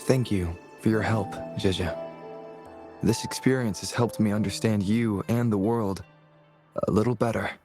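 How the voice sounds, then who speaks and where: An actor voicing a calm, kind young male wanderer in a video game, measured and sincere. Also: lowish voice, natural voice. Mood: calm, grateful. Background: music.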